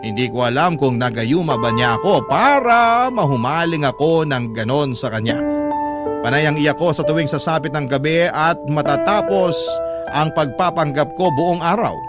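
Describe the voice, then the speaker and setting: A male narrator voices a heartbroken woman's confession in a radio drama. His fairly high voice is sorrowful and emotive, telling the story in the first person. Loud music plays behind the words. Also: animated, normal speed, lightly acted. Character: heartbroken woman letter writer confiding her lost love.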